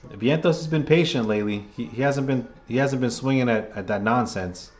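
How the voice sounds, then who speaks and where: A man giving casual, chatty play-by-play for a live chat, seated at a table at home, sounding under the weather with a voice getting hoarse. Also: medium pitch, fairly quick, darkish tone. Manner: chatty, hyped, keeps rallying the chat. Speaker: fan livestream commentator.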